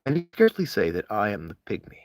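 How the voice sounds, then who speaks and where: A man's voice: lowish voice, big pitch swings, fast talker, dry recording. A man reading a literary essay aloud with theatrical, emphatic phrasing. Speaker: amateur audiobook reader.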